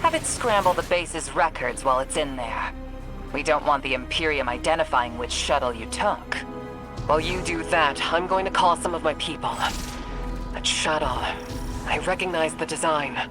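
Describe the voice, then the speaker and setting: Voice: mid-range voice, lively intonation, moderate pace, lightly acted. An actor playing a commanding, pragmatic intelligence officer in a video game, briefing in a clipped, tactical manner. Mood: businesslike. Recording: loud game music over the voice.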